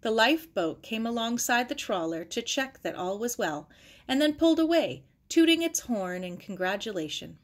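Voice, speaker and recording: A woman's voice: on the high side, animated, moderate pace. Woman reading a children's story aloud. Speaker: audiobook reader. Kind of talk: scripted story narration, children's novel.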